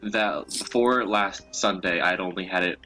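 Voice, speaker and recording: A man's voice: sits low, unhurried, pushed and bright, soft background music, poor audio. A young man chatting casually and telling stories as a co-host, in a crude, profanity-laden way. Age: young adult. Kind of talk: casual chat, storytelling.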